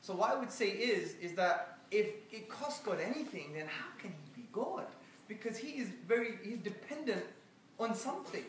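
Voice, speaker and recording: A man answering audience questions after a lecture, animated and joking with the crowd. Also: sits high, very echoey, far from the mic, a little hiss or hum, rough recording. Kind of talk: public lecture Q&A.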